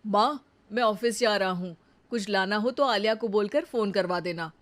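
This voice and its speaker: A woman voicing a patient young husband and dutiful son in a cartoon story, speaking in a calm, reasoning way. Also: mid-range voice, normal speed, lightly acted. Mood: easy.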